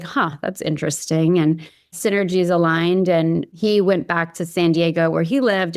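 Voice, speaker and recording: A woman's voice: lowish voice, clear, resonant tone, dry recording, studio quality. A woman from Hawaii chatting informally as an interview guest, telling her own story with lots of filler phrases. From Hawaii, United States. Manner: informal, peppered with "you know" and "like". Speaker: podcast guest, company founder. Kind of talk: casual chat, recounting own story.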